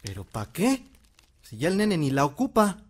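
A man's voice: a little high, wildly swooping pitch, normal speed, husky, heavily acted. An actor playing a devoted young Mexican village husband and father in an audio drama, earnest and doting.

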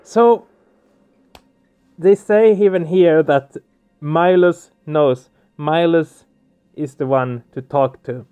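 A man's voice: a little high, takes it slowly, long pauses, smooth, phone-quality sound. A man doing casual let's play commentary, puzzling things out with repeated questions. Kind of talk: casual game commentary and reading aloud. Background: game music.

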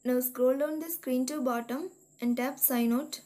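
A woman reading a scripted step-by-step tech tutorial in a measured, instructional manner. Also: high-pitched, steady tone, warm tone. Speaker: tutorial narrator. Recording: voice-over with music behind. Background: background music.

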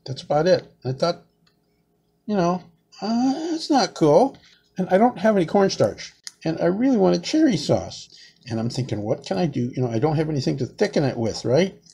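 A man's voice: on the high side, sing-song, normal speed, creaky, dark tone, husky. A man in his sixties chatting casually in his home kitchen as he peels shrimp, rambling and saying "man" a lot. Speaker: home cooking vlogger. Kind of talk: casual cooking demonstration. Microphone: camera close on a table.

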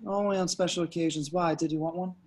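A man's voice: a little high, steady tone, quick pace, a little mellow, close to an everyday voice. A man playing a sheepish, hapless young slacker in a table read over a video call.

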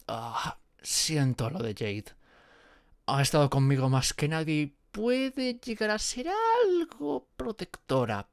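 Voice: medium pitch, no echo, clean studio sound. A performer with an accent from Spain voicing a nervous, apologetic, friendly gorgon in a roleplay audio drama, hesitant and rambling as if talking to one listener. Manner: hesitant, rambling, muttering to self.

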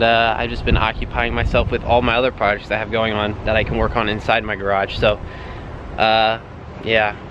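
A young man talks loosely to his handheld camera, full of fillers, in a voice of ordinary middle pitch. He films at arm's length, outdoors and in a garage. A faint hiss sits under the sound. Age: young adult. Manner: relaxed, rambling, many fillers. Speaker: vlogger. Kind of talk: casual vlog update. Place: outdoors at a storage lot, in a truck, and in a home garage.

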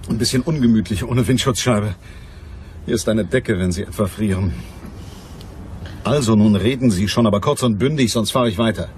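An actor playing a curt, mocking private detective in a crime radio drama, speaking with a dry manner. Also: male voice, fairly low pitch, moderate pace, pauses often, darkish tone, a little hiss or hum, lightly acted. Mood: skeptical, curt. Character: hard-boiled, sardonic male private detective. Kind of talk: German crime radio drama acting and narration.

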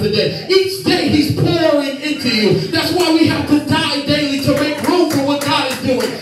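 A young man preaching a sermon on a church stage with a handheld mic, building passion through repeated refrains as he moves and gestures. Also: very high-pitched. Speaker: pastor. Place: church stage with lit screens, indoors. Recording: echoey room from a distant camera.

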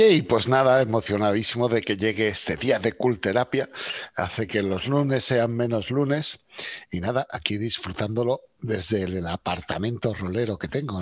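A man's voice: fairly low pitch, dry recording, highs cut off. A man with a Spanish accent from Spain, chatting casually and jokingly as a regular on a roleplay stream.

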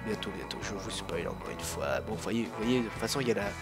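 A man's voice: fairly low pitch, fast talker, loud music behind the voice. A young man with a cold who coughs now and then, chatting casually and rambling through his commentary on a strategy game, full of fillers and restarts. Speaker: gaming YouTuber.

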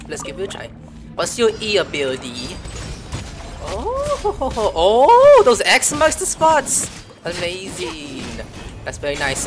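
A woman streamer giving casual gameplay commentary, playful and slangy with frequent exclamations. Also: fairly low pitch. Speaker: gaming streamer. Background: faint game music.

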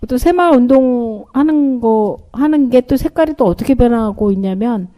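A woman's voice: on the high side, animated, moderate pace, warm tone. A middle-aged woman, a guest in a radio interview, explaining things in an informal, conversational way. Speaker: volunteer group member, interview guest.

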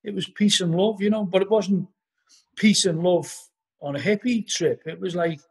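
A man's voice: sits high, normal speed, breathy edge. A middle-aged man with a Liverpool accent, a podcast guest telling rambling anecdotes packed with "you know", talking over a video call from home. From Liverpool, England. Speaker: podcast guest, band vocalist. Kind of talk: casual storytelling interview. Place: living room at home. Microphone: laptop webcam on a video call.

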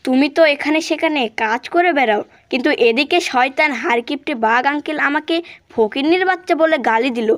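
A woman's voice: sits high, brisk, fairly bright tone. A woman voicing a poor young fox child in a cartoon, plaintive and a little cheeky. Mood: cheeky, complaining. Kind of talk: cartoon voice acting.

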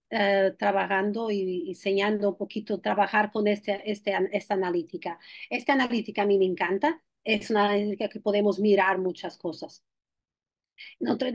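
A woman's voice: medium pitch, no room sound. A woman in her thirties from Portugal, a clinical nutritionist presenting a webinar over a video call, speaking with a Portuguese accent and stopping often to restart her sentences. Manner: hesitant, many restarts and fillers. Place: home room with bookshelves.

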